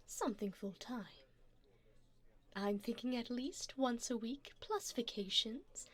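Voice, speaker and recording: Casting: actress as rich female vampire for ASMR roleplay; highish voice, lilting and unhurried, breathy, poised, doting with a predatory edge. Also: lively intonation, fairly bright tone, heavily acted. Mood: smooth, persuasive. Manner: poised, formal, teasing, often breathy and half-whispered. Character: seductive, wealthy vampire woman, doting and predatory. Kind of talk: ASMR roleplay, addressing the listener.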